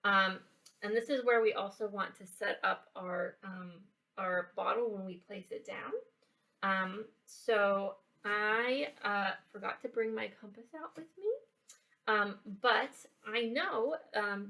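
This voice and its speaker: Woman presenter with creaky voice, off mic. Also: mid-range voice, bright, forceful tone, distant mic. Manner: halting, explanatory, checks in with "right?". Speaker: library children's program presenter. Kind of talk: casual how-to craft and science demonstration. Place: indoors, in a library building.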